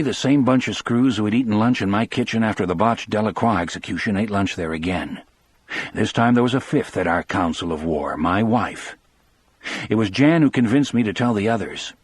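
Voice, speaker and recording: A man with a husky voice reading scripted first-person audiobook narration. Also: fairly low pitch, animated, normal speed.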